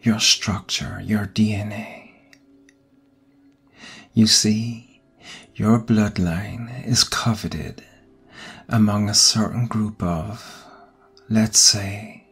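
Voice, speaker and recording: A man playing an ancient vampire captor in a whispered ASMR roleplay, soothing yet menacing, coaxing softly and deliberately. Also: fairly low pitch, steady tone, exaggerated voice. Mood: earnest, intense. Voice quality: breathy whisper. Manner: slow, hushed, deliberate, coaxing. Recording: close voice over background music. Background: music.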